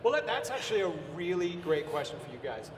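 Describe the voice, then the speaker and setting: American host asking eager questions. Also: male voice, sits high, quick pace. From United States. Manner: eager, energetic questioning with frequent restarts. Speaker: panel host. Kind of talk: interview questions on a panel. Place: large convention hall stage. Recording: roomy echoing hall, distant from the mic, audible crowd ambience.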